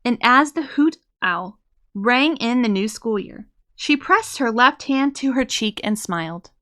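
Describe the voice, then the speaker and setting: Female read-aloud host, higher register, expressive storybook narration for young children. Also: unhurried.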